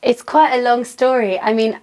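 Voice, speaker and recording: A woman's voice: a little high, moderate pace, a little mellow. A young woman from Newcastle with a Geordie accent, answering interview questions in a casual, chatty way with lots of "um" and "like". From Newcastle, England. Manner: chatty, fillers like "um" and "like". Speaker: interview guest, DJ.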